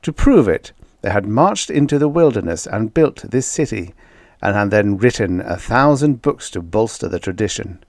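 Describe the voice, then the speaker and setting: A man reading vintage science fiction aloud as an audiobook narrator, in a measured, dramatic storytelling manner with emphatic stresses. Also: on the low side, big pitch swings, creaky, a little mellow, no room sound, studio quality.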